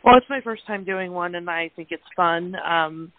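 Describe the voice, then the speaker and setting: A woman's voice: fairly low pitch, normal speed, dry recording. A woman of forty chatting casually as an actor being interviewed. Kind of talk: casual chat. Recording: dry, tinny phone-like band.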